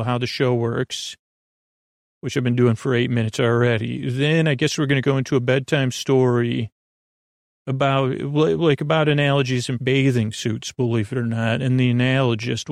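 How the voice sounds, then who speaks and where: A gravelly man with plenty of vocal fry hosts a sleep podcast in a dry, close sound. He meanders and stammers, doubling back on his words. His delivery is self-deprecating and built for bedtime listening. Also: mid-range voice, dry recording. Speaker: podcast host. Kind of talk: rambling bedtime podcast monologue.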